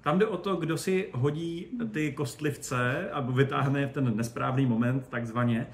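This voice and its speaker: Man explaining, in an echoey room. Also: mid-range voice. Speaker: space expert guest. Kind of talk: casual interview talk. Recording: roomy echo, faint background noise.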